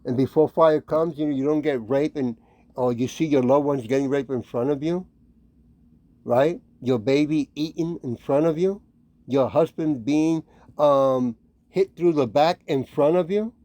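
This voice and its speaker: A man giving an informal, admonishing sermon, talking conversationally with frequent checking tags and pausing to read scripture. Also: fairly high pitch, mellow, dry recording. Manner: conversational, peppered with "right?" and "okay?" tags; admonishing, warning. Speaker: religious street-style preacher and teacher.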